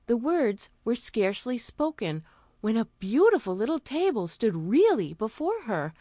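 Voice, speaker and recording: Expressive woman narrating a fairy tale. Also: fairly high pitch, moderate pace, dry recording, studio quality. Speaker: storyteller.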